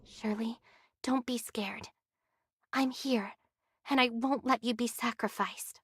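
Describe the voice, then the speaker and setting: Young heroine, anime game, slightly high pitch, earnest, protective, direct, dry studio sound. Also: female voice, fairly even intonation, takes it slowly, fairly bright tone, husky, no echo, full character voice. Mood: tender, resolute.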